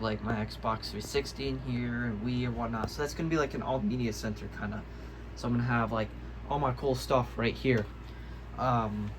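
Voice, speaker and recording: A man giving a casual show-and-tell vlog as a hobbyist, rambling and haltingly correcting himself as he goes. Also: medium pitch, background noise. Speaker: hobbyist video maker. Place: indoors, room with audio gear.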